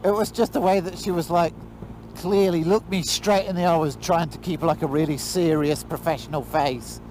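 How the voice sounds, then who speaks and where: A man's voice: a little high, fairly even intonation, normal speed, poor audio. A British man with a slight lisp, telling self-mocking stories in casual chat. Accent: British English. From Britain. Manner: self-mocking, laughing at himself. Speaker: vlogger. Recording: loud road noise behind.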